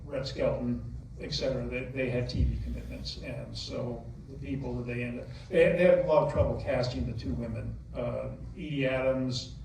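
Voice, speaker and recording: A man with a gravelly edge answers questions at a movie theater Q&A, stopping and restarting as he reaches for names and figures. His voice sits at an ordinary pitch but comes from a distance, and it rings through a big, reverberant theater hall. A steady hiss of noise runs underneath. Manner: halting, many fillers and restarts, detail-heavy. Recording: echoey theater hall, distant mic, noisy.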